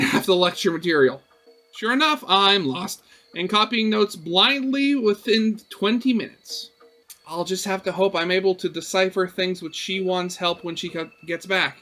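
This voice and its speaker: A young man reading a visual novel aloud as a let's play host, expressive and breaking into casual asides. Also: high voice.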